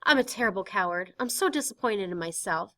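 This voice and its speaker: A woman's voice: medium pitch, animated, brisk, fairly bright tone, close to an everyday voice. A woman reading a stage play aloud and voicing an anxious, intense teenage girl in hiding, with emotional, pleading delivery.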